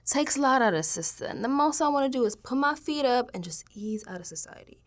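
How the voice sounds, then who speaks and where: A woman acts out a spooked but streetwise young woman, confiding in an audio diary. Her voice sits high and leaps around in lively swings. The delivery is slangy and conversational, in the manner of a first-person radio drama. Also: a little fast. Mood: exasperated, chatty. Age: young adult. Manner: slangy, conversational, dropping into hushed asides.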